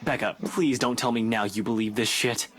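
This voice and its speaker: An actor playing a brusque, dismissive young police officer in a horror video game. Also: male voice, medium pitch, fairly even intonation, normal speed, a bit bright, a little hiss or hum, natural voice. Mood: irritated. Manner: curt, dismissive, exasperated.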